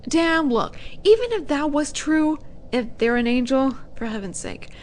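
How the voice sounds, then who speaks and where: Girl doing a haughty demon lady for an audio drama, teasing n sardonic, talks real slow, slightly high voice. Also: lively intonation, darkish tone, a little hiss or hum. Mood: skeptical. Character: haughty, teasing female demon buyer at supernatural auction. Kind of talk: roleplay audio drama acting.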